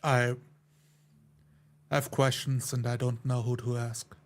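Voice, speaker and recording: A man's voice: medium pitch, level tone, takes it slowly. A man playing a tabletop roleplay game, speaking restrained, hesitant lines in character and narrating his actions. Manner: hesitant, restrained, broken phrases.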